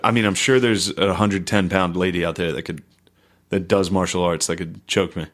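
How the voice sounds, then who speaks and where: Low-voiced blunt guy on a comedy podcast. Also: steady tone, moderate pace. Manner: blunt, profane, slangy. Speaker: podcast co-host. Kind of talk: casual comedic podcast banter.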